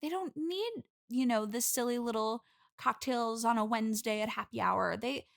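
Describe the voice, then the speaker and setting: A woman's voice: a little high, moderate pace, creaky. A woman playing a needy, passive-aggressive young bridesmaid with a girlish lilt, gushing and insistent in an improvised comedy interview. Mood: forced cheer. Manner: gushing, insistent, over-cheerful denials. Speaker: podcast guest.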